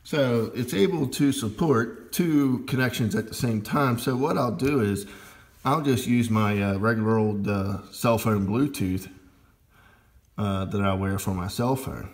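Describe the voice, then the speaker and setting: A man with a fairly low voice talks through a hands-on demonstration in an informal, unscripted way. His delivery is slow and halting, with a heavy creak running through it. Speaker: amateur radio hobbyist demonstrating gear.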